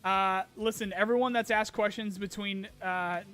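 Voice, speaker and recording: Man, hoarse, higher voice, rambling stream host, soft music underneath. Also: steady tone, normal speed. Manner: rambling, many ums and restarts, apologetic. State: losing his voice, clearing throat. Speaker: streamer host answering chat questions. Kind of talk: casual live-stream Q&A.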